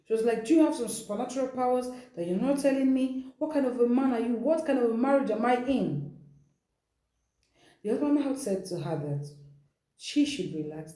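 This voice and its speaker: A woman's voice: mid-range voice, dark tone. A woman with a Nigerian accent telling a dramatic story as a host, emphatic and repeating phrases for effect. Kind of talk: dramatic storytelling, retelling someone's account. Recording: echoey room.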